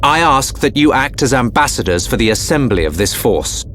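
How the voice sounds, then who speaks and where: An actor playing a dignified, courteous prince in a video game, speaking formally and solemnly. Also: male voice, medium pitch, lively intonation, moderate pace, a bit bright, slight background noise, close to an everyday voice. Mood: stately, resolved. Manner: formal, stately. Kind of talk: video game cutscene acting.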